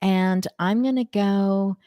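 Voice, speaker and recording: A woman with a low, thin alto voice, a guest on a video call speaking in a deliberate, upbeat and earnest way. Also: mellow, smooth, no room sound, clean studio sound. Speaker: guest charity representative. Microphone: video call, changing microphones.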